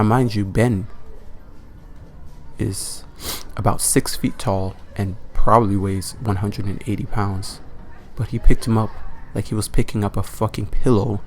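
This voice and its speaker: A man's voice: fairly low pitch, a little mellow, a little hiss or hum. A man narrating a comic horror story as a casual, foul-mouthed storyteller, leaning on words for emphasis. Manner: profane, informal, drawn-out emphasis.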